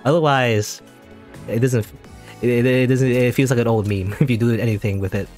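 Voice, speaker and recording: A man's voice: mid-range voice, fairly even intonation, normal speed, a little mellow, soft background music. A man giving casual, rambling commentary while playing a video game, often correcting himself mid-sentence. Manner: rambling, chatty, self-correcting. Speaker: gaming channel host. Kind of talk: casual let's play commentary.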